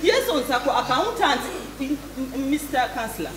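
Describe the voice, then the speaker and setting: Exasperated, high-voiced Ugandan wife. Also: female voice, big pitch swings, moderate pace, a crowd in the background. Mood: defensive. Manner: emphatic, theatrical, repetitive. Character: exasperated business-owner wife, defensive and dramatic. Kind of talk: live stage comedy acting. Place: theatre stage. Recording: echoey theatre stage, clear audience noise.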